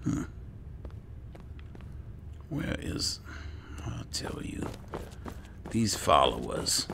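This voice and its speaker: A man's voice: deep voice, sing-song, drawn-out words, gravelly, noisy background. A man doing in-character roleplay commentary over a video game, often muttering dryly to himself. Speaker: gamer roleplaying as an alchemist.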